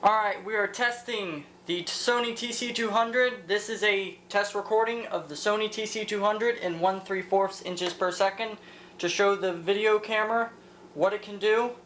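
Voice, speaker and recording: A woman's voice: medium pitch, normal speed, fairly bright tone. A seventeen-year-old young woman giving a casual, unscripted equipment demonstration, hesitant and thinking aloud. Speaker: vintage tech hobbyist demonstrating a tape recorder. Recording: roomy echo with faint background noise.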